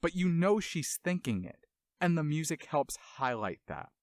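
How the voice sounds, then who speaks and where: A man's voice: a little high, very animated, moderate pace, darkish tone, dry recording, studio quality. A man hosting a video essay with casual analytical commentary, dramatic emphasis and colloquial asides.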